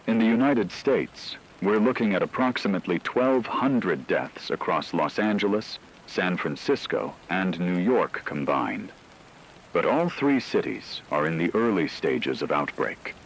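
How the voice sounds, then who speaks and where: A man playing a grave radio news anchor, delivering a formal, measured, analytical scripted report on an apocalypse. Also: deep voice, lively intonation, slight background noise, rough recording.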